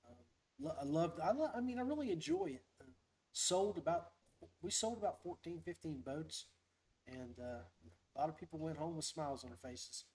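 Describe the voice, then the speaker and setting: A man with a slightly high voice chats as the host of a fishing livestream. His delivery is folksy and upbeat, wandering from one thought to the next as he goes. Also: expressive, normal speed, darkish tone, slightly rough, rough recording. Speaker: podcast host. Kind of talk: casual livestream fishing chat.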